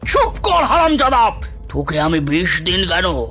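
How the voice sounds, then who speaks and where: Cartoon villain: haughty moneylender bully, high-pitched, slow, mocking, music underneath. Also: male voice, wildly swooping pitch, bright, forceful tone, heavily acted. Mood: furious, abusive. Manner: contemptuous, mocking, taunting. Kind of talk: dramatic cartoon story acting.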